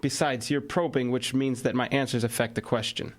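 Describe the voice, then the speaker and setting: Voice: mid-range voice, steady tone, a little fast, close to an everyday voice. An actor playing a helpless oracle compelled to answer questions in an audio drama. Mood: helpless, resigned.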